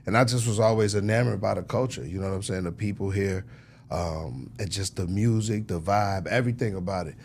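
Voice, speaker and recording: Middle-aged man, American accent, deep pitch, casual interview guest, slangy phrasing, noisy rumbling recording. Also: brisk, a little mellow. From United States, Chicago. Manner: conversational, slangy, frequent throat clearing.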